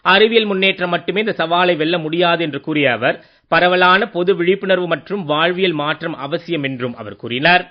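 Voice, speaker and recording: Higher-voiced man reading radio news. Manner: formal, measured reading. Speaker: news reader. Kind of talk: formal scripted radio news bulletin. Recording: dull lo-fi radio sound.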